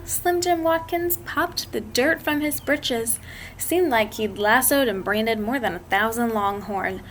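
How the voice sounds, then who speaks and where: A woman reading a picture book aloud to children, expressive and drawn out, with chatty asides to her listeners. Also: high-pitched. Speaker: read-aloud storyteller. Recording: clearly noisy amateur recording.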